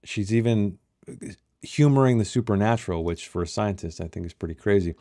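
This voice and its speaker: A man with a low, mellow voice leads a book read-along. The sound is dry and close. He moves between reading prose and casual, musing commentary, often posing rhetorical questions. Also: fairly quick, no room sound.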